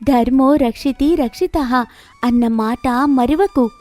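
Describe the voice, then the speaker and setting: A woman voicing a golden fish who is a cursed mermaid princess, pleading earnestly in an animated moral story. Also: sits high, slow pace. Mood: earnest, solemn.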